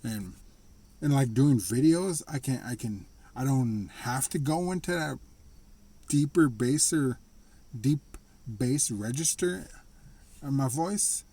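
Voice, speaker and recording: A man talking casually to the camera in a slightly raspy voice, rambling and restarting his sentences. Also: mid-range voice, lively intonation, dark tone. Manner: rambling, halting, with frequent restarts and laughs. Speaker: vlogger. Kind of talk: casual personal monologue.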